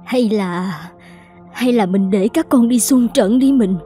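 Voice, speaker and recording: A woman voicing a gentle, anxious middle-aged mother in a cartoon drama, pleading and earnest. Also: a little high, takes it slowly. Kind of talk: animated cartoon drama dialogue. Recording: music behind the voice.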